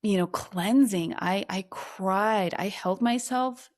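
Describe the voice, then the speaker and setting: Ukrainian-born woman, earnest and reflective. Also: mid-range voice. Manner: reflective, earnest, slows down on emotional points. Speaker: podcast guest, author. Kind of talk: personal storytelling in conversation.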